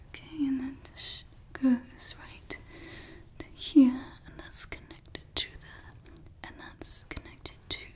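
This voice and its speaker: A woman playing a fussy, playful female evil scientist in an ASMR roleplay, whispering softly and close to the microphone. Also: high-pitched. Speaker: ASMR creator.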